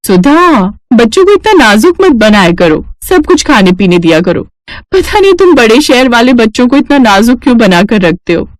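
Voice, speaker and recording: Brisk, chiding elder sister-in-law played by a woman. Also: fairly high pitch, sing-song, no room sound. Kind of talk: cartoon family story acting.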